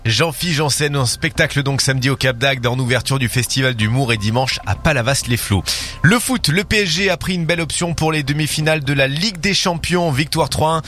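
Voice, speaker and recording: A man reading a morning radio news bulletin in a studio, steady with the odd hesitation. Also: medium pitch, brisk, faint music behind. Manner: steady read with occasional hesitations. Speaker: radio newsreader. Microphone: studio microphone.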